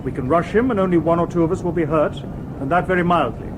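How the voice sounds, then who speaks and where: A man's voice: a little high, close to an everyday voice. A man acting a formal robot detective, noisy recording. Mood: commanding. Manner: formal, precise, measured, unemotional wording. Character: calm, courteous humanlike male robot detective. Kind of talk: old-time radio drama acting. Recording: noisy old radio drama recording.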